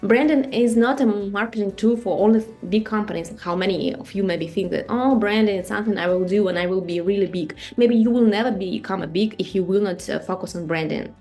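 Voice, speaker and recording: A woman's voice: medium pitch, fairly quick, few pauses, dark tone, faint music behind. Russian-accented woman teaching a branding class. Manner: conversational, many fillers and rhetorical questions. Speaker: marketing and branding instructor. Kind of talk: online master class presentation.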